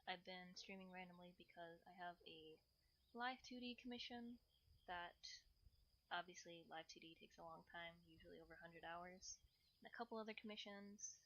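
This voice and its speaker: A woman's voice: fairly low pitch, moderate pace, fairly bright tone, a little hiss or hum. A woman streamer talking informally to her viewers, rambling a little and thinking aloud. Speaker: streamer, channel host. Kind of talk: casual livestream chat with viewers.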